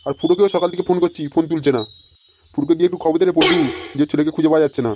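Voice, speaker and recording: A man's voice: a little high, fairly even intonation, racing, close to an everyday voice. A man voicing a fretful, foul-mouthed grandfather in a comedy cartoon, scolding and blunt. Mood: worried. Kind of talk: amateur comedy cartoon voice acting. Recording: noisy home-made cartoon dub.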